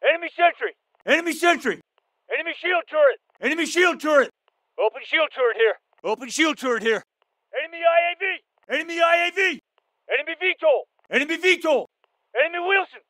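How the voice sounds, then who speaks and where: A man's voice: very high-pitched, sharp tone, no echo, poor audio. A man playing a terse soldier in a military shooter game, calling out short, urgent scripted combat lines. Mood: alert. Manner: terse, clipped, urgent commands.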